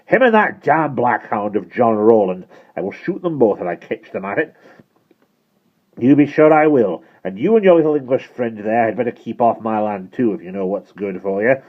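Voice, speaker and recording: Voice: mid-range voice, lively intonation, moderate pace, voice pushed up, lightly acted. A man voicing an angry, threatening Welsh farmer with a heavy Welsh accent, thick with rage, in an audiobook. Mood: furious. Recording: muffled, phone-like narrow band.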